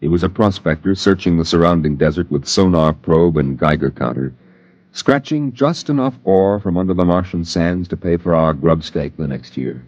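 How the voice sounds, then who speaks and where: An actor playing a grown man recalling his boyhood, in measured, reflective narration for a 1950s radio drama. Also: deep voice, expressive, a little mellow, no room sound. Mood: reflective, grave. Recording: dull vintage radio recording. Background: musical score behind the voice.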